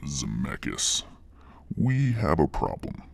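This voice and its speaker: A man voicing a menacing reptilian demon boss with a deep gravelly growl, speaking in slow, mock-polite, condescending corporate fashion. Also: huge pitch swings, no room sound, studio quality, heavily acted. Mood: cold, condescending.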